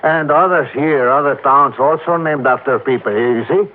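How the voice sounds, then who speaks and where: An actor playing a polite, cautious young Mexican-American police sergeant in an old radio drama. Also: male voice, mid-range voice, moderate pace, lightly acted. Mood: earnest, cautious. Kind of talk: 1950s radio drama acting. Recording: tinny old radio recording, faint noise.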